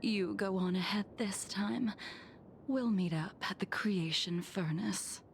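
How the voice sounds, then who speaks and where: A woman voicing an aloof, stern swordswoman who speaks in a cool, measured, quietly mocking way. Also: medium pitch, gravelly, slight background noise. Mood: taunting. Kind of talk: video game voice acting. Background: game music.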